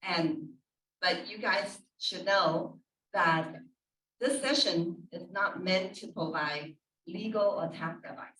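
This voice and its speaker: A middle-aged woman speaking as an escrow officer on a panel, friendly and measured. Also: fairly low pitch, a bit bright. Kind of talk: panel talk. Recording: echoey room, distant mic.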